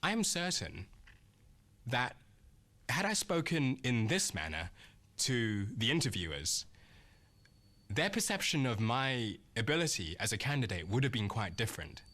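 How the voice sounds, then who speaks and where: A man in his thirties, an accountant looking for work, giving an earnest, measured scripted monologue to the camera. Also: mid-range voice, very animated, pushed and bright. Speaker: accountant job seeker.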